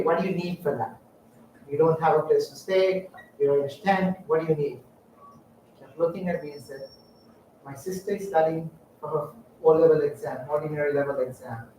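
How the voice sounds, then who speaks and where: A middle-aged man from Sri Lanka telling personal stories in a public talk, halting and reflective with frequent fillers. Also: a little high, darkish tone, a little hiss or hum. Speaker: guest speaker. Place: lecture room. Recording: echoey room, distant mic.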